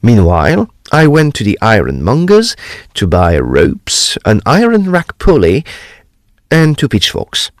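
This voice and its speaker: A man playing a courteous, precise gentleman ghost hunter, telling his gothic tale in a formal, deliberate, expressive way. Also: mid-range voice, no room sound, clean studio sound. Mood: measured narrating. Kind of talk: dramatised gothic storytelling.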